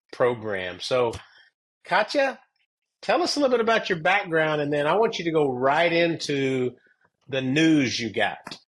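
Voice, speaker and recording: A man's voice: mid-range voice, a bit bright. A man hosting an interview, asking brief, encouraging questions.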